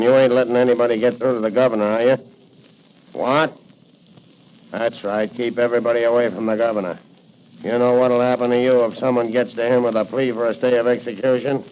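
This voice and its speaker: An actor playing a cocky, menacing gangster with a tough, slangy American manner, in 1940s radio drama style. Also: male voice, medium pitch, lightly acted. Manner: tough slangy talk, sneering. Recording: dull, noisy 1940 radio recording.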